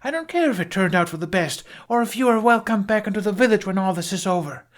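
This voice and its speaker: A young Viking warrior woman acted by a male audiobook narrator speaks high and hard. She is indignant and accusing, pushing her words out loudly with sharply swinging pitch. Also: expressive, quick pace. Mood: furious, challenging. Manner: indignant, forceful, shouting accusations.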